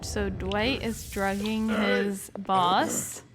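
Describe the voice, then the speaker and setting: Female gaming creator, mid-pitched, casual and chatty reaction commentary with light music underneath. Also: flat delivery, very slow. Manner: informal, chatty, frequent "like".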